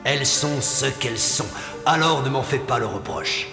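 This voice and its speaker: A man's voice: mid-range voice, normal speed, over music, natural voice. Man as solemn, echoing Spirit of Christmas Past. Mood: solemn, measured. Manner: calm, questioning, admonishing. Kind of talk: French cartoon voice acting. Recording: echoey with long reverb.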